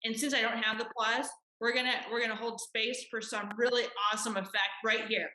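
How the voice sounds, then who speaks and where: A woman's voice: a little high, fairly even intonation, fast talker, pushed and bright. A woman hosting an interview podcast, introducing her guest with upbeat enthusiasm. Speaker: podcast host. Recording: echoey room.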